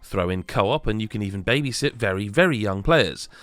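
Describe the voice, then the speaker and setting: A man's voice: fairly low pitch, animated, fairly quick, a bit bright, dry recording. Man narrating a review, dry close recording. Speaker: video game reviewer.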